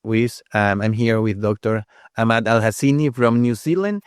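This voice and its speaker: A man hosting a podcast interview, speaking hesitantly with pauses and fillers. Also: fairly low pitch, slight background noise. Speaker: podcast host.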